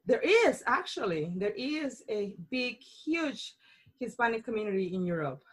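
A woman's voice: on the high side, very animated, measured pace, slightly rough. A woman of about forty from Colombia, a native Spanish speaker with a Colombian accent, talking about her life on a casual podcast. She is bubbly and laughs often. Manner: bubbly, laughs often, chatty with frequent restarts. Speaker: podcast host being interviewed.

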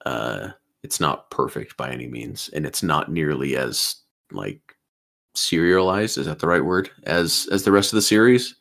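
A man's voice: very deep voice, normal speed, creaky, fairly bright tone. A man in his twenties chatting on a podcast as co-host, eager and often repeating his first words. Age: 20s. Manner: enthusiastic, repeats words at starts of sentences. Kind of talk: casual chat.

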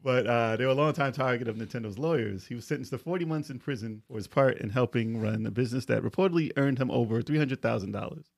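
A man's voice: mid-range voice, quick pace, creaky, hardly any pauses, no echo. A man co-hosting a video game podcast, reading out news stories and laughing often between lines. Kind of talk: casual podcast chat.

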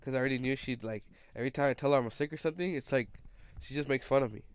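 A man's voice: mid-range voice, very fast, clean tone. A young man co-hosting a casual podcast, playful and teasing, mixing in Spanish words and sounding stuffy from a cold. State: stuffy, sick. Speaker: podcast co-host. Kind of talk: casual chat.

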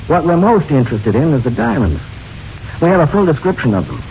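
An actor playing a calm, methodical police lieutenant in an old radio drama, measured and official, on a noisy recording. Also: male voice, on the high side, muffled recording. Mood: firm, insistent.

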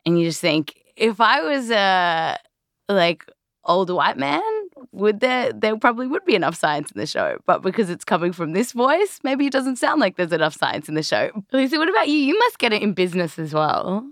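A woman hosting a podcast, chatting casually with other hosts in a recording studio in a lively, joking way. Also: medium pitch, normal speed, no echo. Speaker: podcast host. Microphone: studio microphone.

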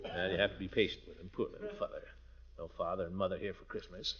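An actor playing a pompous, blustery middle-aged American uncle in old radio comedy style, muttering asides and chuckling. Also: male voice, deep voice, fairly even intonation, fast talker, lightly acted. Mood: coaxing. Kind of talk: 1940s radio comedy acting. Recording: muffled, noisy old radio recording.

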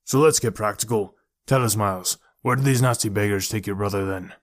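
A man voicing a gruff, nonchalant hard man who mumbles, as an audiobook character. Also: lowish voice, brisk, natural voice. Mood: wry, businesslike.

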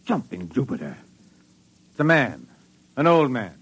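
An actor playing an excitable spaceship doctor, exclamatory and astonished, in an old-time radio drama. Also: male voice, medium pitch, unhurried, slightly rough, heavily acted. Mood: alarmed, baffled.

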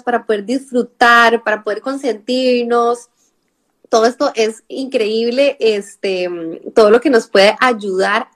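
A woman's voice: on the high side, a bit bright. Chatty Costa Rican woman host. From Costa Rica. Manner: chatty, many fillers and tag questions. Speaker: podcast host. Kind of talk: casual conversational interview.

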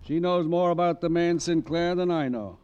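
An actor playing a smooth, self-assured businessman husband with mocking geniality in an old-time radio crime drama. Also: on the high side, moderate pace, a little mellow, natural voice. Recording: dull, noisy vintage radio recording.

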